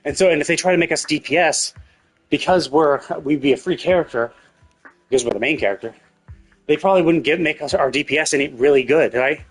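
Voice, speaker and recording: A man's voice: on the high side, fast, slightly rough, faint music behind, rough recording. A man giving casual livestream commentary on games, explaining in a rambling way and often rephrasing himself. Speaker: video game livestreamer.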